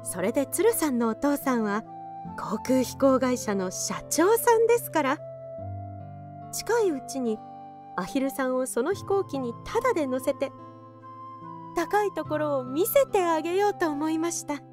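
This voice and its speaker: Woman narrator, high voice, lively intonation, children's storybook reading. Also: moderate pace. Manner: animated read-aloud. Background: children's background music.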